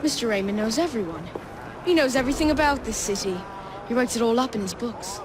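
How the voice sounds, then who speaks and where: A woman's voice: a little high, lively intonation, fast, lightly acted. A woman voicing an earnest, precocious little Victorian boy who speaks precisely in a video game cutscene. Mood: eager. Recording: music behind the dialogue.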